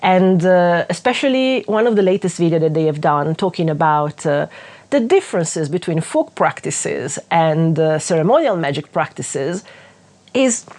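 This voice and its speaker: A woman's voice: on the low side, expressive, moderate pace, a little mellow. A woman from Northwest Italy with an Italian accent, chatting enthusiastically as she recommends other creators. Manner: enthusiastic, gushing, with frequent fillers and restarts. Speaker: witchcraft channel host. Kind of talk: casual recommendation vlog.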